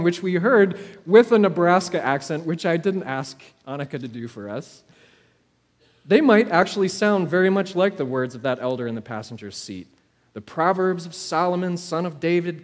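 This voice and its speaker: A high-voiced man delivers a sermon in a space with long, ringing reverb. He preaches like a storyteller, measured and deliberate. Dramatic pauses and repeated phrases shape his delivery.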